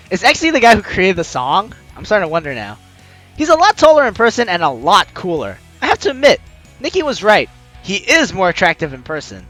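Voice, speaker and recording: A man playing a visual novel, reading the text aloud with excitable, joking reactions and the odd stumble, over music. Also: high voice. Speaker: let's player. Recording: music behind the voice.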